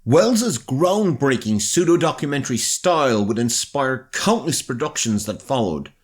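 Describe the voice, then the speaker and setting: A man with an Irish accent presenting scripted documentary narration in a measured, dramatic storytelling manner. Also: medium pitch, very animated. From Ireland.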